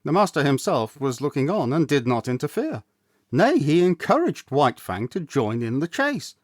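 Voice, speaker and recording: A man reading a literary story aloud, with measured, deliberate phrasing and dramatic emphasis. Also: on the high side, sing-song, no room sound, clean studio sound. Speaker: storyteller, audiobook reader. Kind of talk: scripted literary narration, storytelling.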